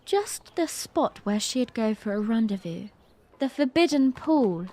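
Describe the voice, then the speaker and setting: A woman's voice: fairly high pitch, lively intonation, unhurried, mellow. A woman playing a young, playful, romantic princess who tells her story in a genteel, tender way in a fan audio drama. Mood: playful, warm.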